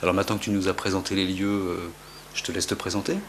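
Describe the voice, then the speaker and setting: A man interviewing a painter, asking informal, slightly halting questions. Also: low voice, level tone, slight background noise.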